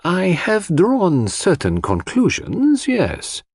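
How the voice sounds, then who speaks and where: A male reader acts out a shrewd gentleman detective from a mystery audiobook. He speaks formally and softly, as if confiding, with polite gravity and a lively melody in a dry recording. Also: high-pitched, slow pace, slightly rough, no room sound, pitched above the performer's own voice, exaggerated voice. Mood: grave.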